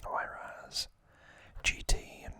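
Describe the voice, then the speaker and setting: A man whispers in an unscripted ASMR style, slow and hesitant. His breathy voice stays even and hushed, with a crisp, sibilant brightness. He pauses to think as he explains what he is showing. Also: no room sound. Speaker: ASMR video host.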